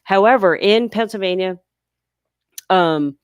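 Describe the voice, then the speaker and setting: A woman's voice: mid-range voice, takes it slowly, smooth, dry recording, studio quality. A woman hosting a casual live Q&A, reading viewer questions aloud and explaining them patiently, her voice congested from blocked sinuses. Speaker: livestream host answering viewer questions. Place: home near a large US city.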